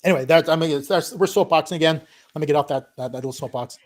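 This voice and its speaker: A middle-aged man explains online business on a live stream, loud and emphatic, always checking in with "okay?" and "right?". His voice sits a bit high and swings widely as he drives each point home. He laughs often and talks like he is teaching. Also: racing. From Filipino family. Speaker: guest co-host, digital marketing consultant.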